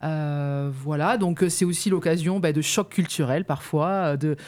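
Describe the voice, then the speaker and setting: A woman's voice: sits low, normal speed, a little mellow. A woman, a guest on local radio, explaining her work at length with many hesitations. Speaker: youth mobility officer, guest. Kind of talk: explaining in an interview.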